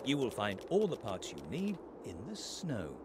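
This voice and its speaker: An actor playing a calm narrator in a children's game, explaining things in a measured, friendly way. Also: male voice, medium pitch. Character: calm male storybook narrator for small children. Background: music.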